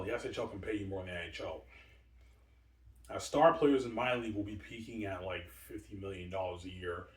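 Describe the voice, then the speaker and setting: Rambling man in his forties, echoey room. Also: fairly low pitch. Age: 40s. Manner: repetitive, stumbling, answers his own questions. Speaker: video host. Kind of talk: rambling monologue. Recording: echoey room, far from the mic.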